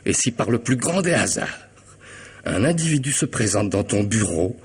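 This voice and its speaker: An actor playing a vengeful, mocking killer in a French radio drama, theatrical and menacing, moving between hush and outburst. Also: male voice, fairly low pitch, very animated, normal speed, full character voice. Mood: threatening. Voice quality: husky, gravelly voice. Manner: theatrical menace, swinging from hushed to loud.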